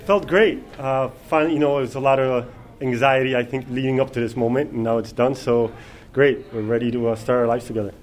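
A man from Poland, a groom, speaking calmly and matter-of-factly. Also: medium pitch, brisk. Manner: calm, matter-of-fact. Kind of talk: casual interview answers.

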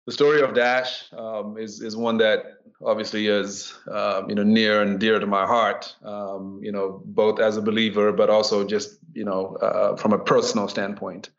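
A man's voice: lowish voice, level tone, moderate pace, few pauses, dull audio. A middle-aged man from Zimbabwe, a podcast guest telling his story with many fillers and restarts. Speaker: guest, ministry executive director. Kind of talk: conversational storytelling.

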